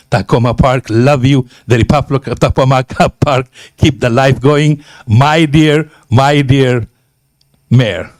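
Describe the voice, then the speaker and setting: A man with an Ethiopian accent giving an earnest, emphatic public speech at a civic meeting, halting often between phrases. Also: medium pitch, darkish tone, no room sound. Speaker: community member seconding a nomination.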